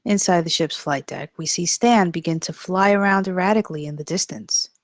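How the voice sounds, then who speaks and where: A woman's voice: lowish voice, a little fast, no echo, clean studio sound. A woman reading scripted stage directions as the narrator.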